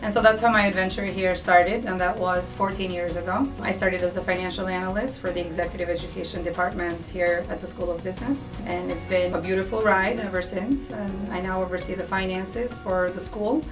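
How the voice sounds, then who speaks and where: A woman, a finance officer telling her story in a measured, reflective way in an interview. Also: on the low side, steady tone. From Albania. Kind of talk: recorded interview. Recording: distant mic with background music.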